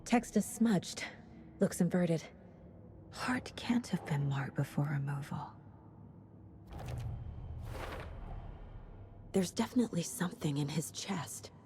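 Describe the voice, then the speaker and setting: Female detective, lowish voice, composed, matter-of-fact, game drama, faint noise. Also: lively intonation, moderate pace, warm tone. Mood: hushed, puzzled. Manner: focused, matter-of-fact, thinking aloud. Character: composed, sharp female FBI investigator. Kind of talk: video game cutscene acting.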